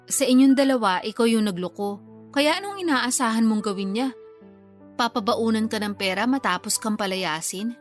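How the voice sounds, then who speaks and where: Actress as high-voiced, scolding Filipino younger sister. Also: female voice, animated, moderate pace, faint music behind, lightly acted. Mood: concerned, reproachful. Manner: frank, reproachful. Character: blunt, scolding younger sister, reproachful but caring. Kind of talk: Filipino radio drama acting.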